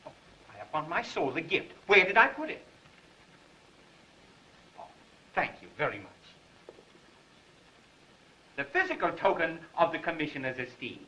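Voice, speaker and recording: A flustered, pompous little bureaucrat, with a high, sharp voice that leaps up and down. He speaks in flowery, stammering phrases on an old, dull film soundtrack. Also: big pitch swings, normal speed, pauses often, a little hiss or hum. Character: fussy, pompous, flustered male police secretary. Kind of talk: 1930s film acting.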